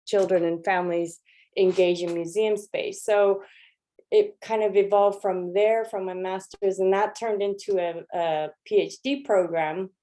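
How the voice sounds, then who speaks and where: A woman leading an art workshop, talking through her slides in a relaxed, chatty way with lots of ums and restarts. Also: mid-range voice, unhurried. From Los Angeles. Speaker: workshop leader. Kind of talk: informal lecture with slides.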